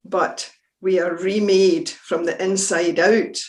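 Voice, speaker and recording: Slow reflective woman in a roomy space. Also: fairly low pitch. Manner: calm, reflective reading. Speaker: devotional reader. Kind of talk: scripted church reflection reading. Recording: roomy echo.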